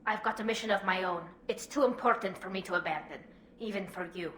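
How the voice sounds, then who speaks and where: An actress playing a loyal, protective young alien engineer in a video game, earnest and heartfelt. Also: female voice, mid-range voice, fast, a bit bright, close to an everyday voice. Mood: earnest, regretful. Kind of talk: video game dramatic acting. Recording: echoey room, faint background noise.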